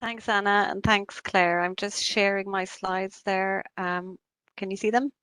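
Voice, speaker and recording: A woman, a statistician giving a measured, formal slide presentation on a video call. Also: medium pitch, fairly even intonation, fairly bright tone, smooth, no echo. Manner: measured and formal, with occasional fillers.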